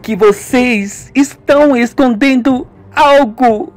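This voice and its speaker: Um homem fazendo uma jovem furiosa e chorosa. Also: male voice, extremely high pitch, slow, faint music behind, voice pushed up. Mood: irritada. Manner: tearful, furious outbursts. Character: young woman, angry and grieving.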